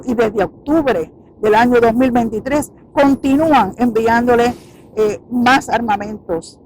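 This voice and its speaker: A woman's voice: a little high, a little mellow, a little hiss or hum, poor audio. A Puerto Rican woman who founded an activist group, giving an earnest, emphatic speech to the camera outdoors in front of a building. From Puerto Rico.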